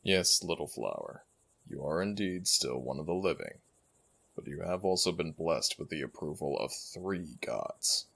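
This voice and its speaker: A man voicing an ancient jackal-headed god in an ASMR roleplay, gravelly and husky, speaking in stately, affectionate, gently teasing phrases to one listener. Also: rumbling low, expressive, a little slow, exaggerated voice. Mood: reassuring. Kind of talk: ASMR roleplay audio drama.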